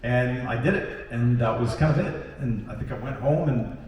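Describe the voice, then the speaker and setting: A man's voice: medium pitch, moderate pace, a little mellow. A man voice actor relaxedly telling a panel anecdote with fillers. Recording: echoey hall from far off.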